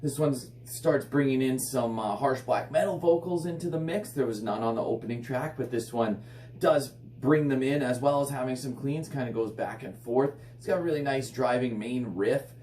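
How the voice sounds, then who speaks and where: A man with a hoarse, raspy voice from illness, enthusiastically reviewing a metal album in casual, rambling speech full of "like". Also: mid-range voice, normal speed, a little mellow. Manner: enthusiastic, filler-heavy rambling with frequent "like". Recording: echoey room, slightly distant mic, faint hum.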